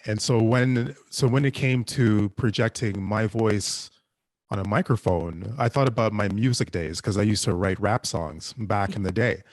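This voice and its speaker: A man speaking reflectively as a writer on a discussion panel, haltingly repeating phrases as he thinks. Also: fairly low pitch, no echo. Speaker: panelist, audio drama writer.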